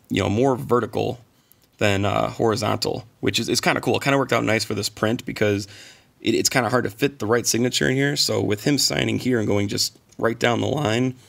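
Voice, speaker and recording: A man talks through his finds in a casual vlog, his voice hoarse and gravelly. He sits a little low in pitch and speaks with eager enthusiasm, yet he keeps it fairly quiet. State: hoarse from singing and little sleep. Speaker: channel host.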